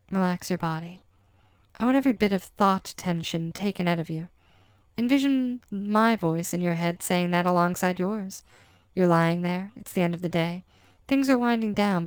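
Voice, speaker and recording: Low, smooth-voiced woman hypnotist. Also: no echo. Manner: slow, soft, commanding, repeating words hypnotically. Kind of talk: erotic hypnosis audio.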